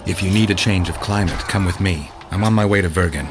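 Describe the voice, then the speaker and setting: An actor playing a stoic, dry-witted monster hunter in a video game, speaking tersely in a deadpan manner. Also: male voice, sits low, a little fast, noisy background, close to an everyday voice. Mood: wry, friendly. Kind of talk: video game dialogue acting.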